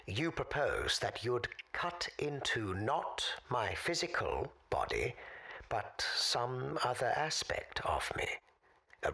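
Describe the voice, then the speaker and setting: A woman playing an elderly, weary, wily former spy in an audio drama, with a hoarse, half-whispered rasp and formal, deliberate storytelling. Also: very deep voice, sharp tone, full character voice. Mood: wry, guarded. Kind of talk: dramatic monologue in audio fiction.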